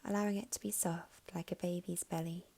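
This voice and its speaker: A woman guiding a meditation in a soft, soothing, unhurried way with long pauses, her voice breathy and slipping toward a whisper at phrase ends. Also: fairly low pitch, fairly even intonation. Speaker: meditation guide. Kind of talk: guided meditation narration.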